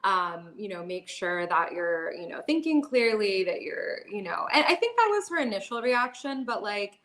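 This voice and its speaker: Woman, 30s, bright open tone, chatty guest, filler-heavy candid storytelling. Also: medium pitch, sing-song, normal speed. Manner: chatty, many "like" and "you know" fillers, laughs often. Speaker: podcast guest.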